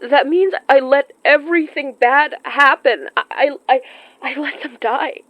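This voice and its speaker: Audio drama role: actress as tender, guilt-ridden young woman, slow and stammering, naturalistic, slightly muffled sound. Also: high voice, dry recording, pitched above the performer's own voice. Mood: guilty, tearful. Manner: halting, stammering, emotional.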